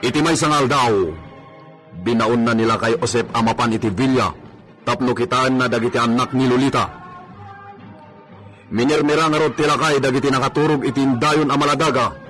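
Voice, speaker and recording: A man with an Ilocano accent announces and narrates a radio serial in a scripted style. His pitch is medium, with faint music underneath. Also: steady tone, a little fast, pauses often, fairly bright tone.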